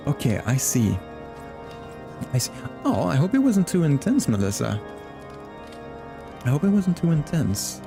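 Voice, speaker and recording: A man's voice: fairly high pitch, sing-song, unhurried, creaky, long pauses, dark tone. Friendly male streamer chatting casually with viewers, game music underneath. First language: Flemish. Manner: chatty and friendly, greets chat by name, laughs often. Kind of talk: casual live-stream chat.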